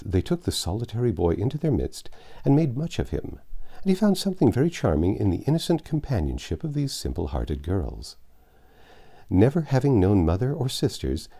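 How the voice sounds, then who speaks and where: Older man reading a classic novel aloud. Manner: expressive, measured reading with lively pitch. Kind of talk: storybook read-aloud narration. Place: home library with bookshelves, indoors. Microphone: lapel mic.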